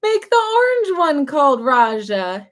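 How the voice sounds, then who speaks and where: A woman chatting casually in a makeup review vlog, her voice husky and a little hoarse as if she is losing it, often clearing her throat between fillers. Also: sits high, big pitch swings, a little slow. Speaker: beauty vlogger.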